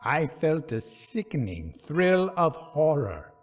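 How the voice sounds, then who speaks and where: Slow, dramatic male ghost storyteller. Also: a little high, very animated, soft background music. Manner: theatrical, suspenseful, measured delivery.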